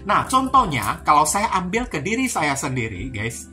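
A woman from Indonesia talks through a tarot reading in a bright, forceful voice that sits fairly low. She is chatty and circles back over her points, often turning to address her viewers. Music plays softly behind her. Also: big pitch swings, moderate pace. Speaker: tarot reader. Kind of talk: informal card-reading talk to viewers. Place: indoors at a card table.

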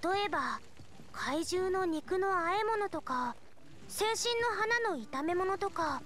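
A woman playing a cheerful, eager teenage girl chef in an anime game, with energetic, expressive and friendly delivery. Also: very high-pitched, slow, exaggerated voice. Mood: eager, confident. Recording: studio voice over game music.

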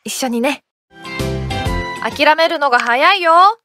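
An actress playing a cheerful teenage girl team manager in a game, gently and kindly encouraging. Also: sits high, lively intonation, slow pace, faint music behind, heavily acted. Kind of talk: Japanese game voice acting.

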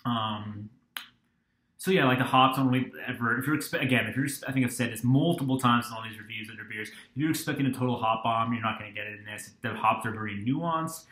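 A man's voice: mid-range voice, a little fast, bright, forceful tone, reverberant, rough recording. A young man in his twenties casually reviewing a beer, rambling with lots of ums and restarts, filmed on a webcam at a desk in a small room. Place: small dorm-like room indoors.

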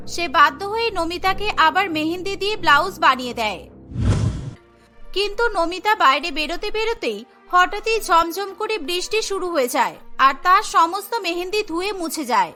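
A woman with a very high, bright voice tells a cartoon story, reading the characters' lines with lively expression. Soft music plays under her. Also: normal speed. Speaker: narrator voicing all female characters. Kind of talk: scripted storybook narration with acted dialogue.

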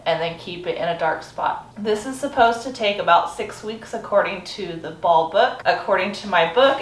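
A woman in her thirties giving a friendly step-by-step cooking demonstration in her home kitchen, filmed by a camera across the counter. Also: a little high. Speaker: homesteading vlogger. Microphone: camera mic from across a counter. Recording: roomy sound from a far mic.

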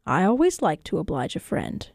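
A woman's voice: lowish voice, wildly swooping pitch, moderate pace, creaky, a little mellow, dry recording, clean studio sound, voice pushed down, heavily acted. A woman voicing an obliging, agreeable male trader in a children's folktale.

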